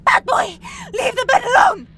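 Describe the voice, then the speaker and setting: A woman playing a spiteful, childish vampire sister with a shrill voice in a horror audio drama. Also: normal speed, shouting, background noise. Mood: shrieking outrage.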